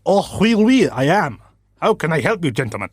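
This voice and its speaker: A man's voice: on the high side, big pitch swings, moderate pace, breathy edge, dry recording, clean studio sound. A man voicing an apologetic, evasive French baker with a French accent and a polite, hesitant manner. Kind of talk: comedic radio drama acting.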